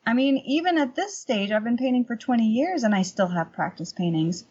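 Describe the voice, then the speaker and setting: High-voiced, slightly husky middle-aged woman, chatty. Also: lively intonation, brisk, a little mellow, lo-fi sound. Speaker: podcast guest, painter and workshop teacher. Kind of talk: casual conversational interview, anecdotal.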